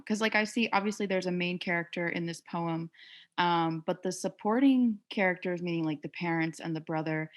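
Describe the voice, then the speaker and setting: A woman with a fairly low voice speaks in a casual, unscripted way. She sounds tentative, feeling out her phrasing as a participant in an online discussion. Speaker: event participant asking a question.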